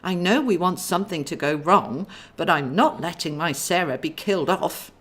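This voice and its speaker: A woman's voice: lowish voice, moderate pace. A woman voicing a fretful, protective young female guardian angel in a storybook, chatty and earnest. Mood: flustered, protective. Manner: chatty, earnest, easily excited.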